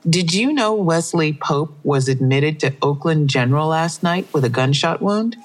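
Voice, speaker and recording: An actress playing a brisk, abrasive female police detective in an audio drama. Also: low voice, expressive. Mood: brisk, confiding. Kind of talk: full-cast audio drama acting.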